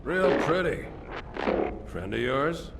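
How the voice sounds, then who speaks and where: A man's voice: a little high, sing-song, slow, creaky, very noisy, full character voice. A man playing a stern, sardonic marine officer giving curt orders in a video game cutscene. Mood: dry, commanding. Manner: curt, dry, authoritative.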